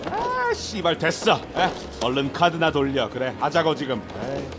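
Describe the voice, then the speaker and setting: Angry middle-aged male Korean high-roller, radio drama: profane, machine-gun pace, music underneath. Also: very animated, very fast. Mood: angry, contemptuous. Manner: profane, loud, indignant bursts. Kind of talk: audio drama acting.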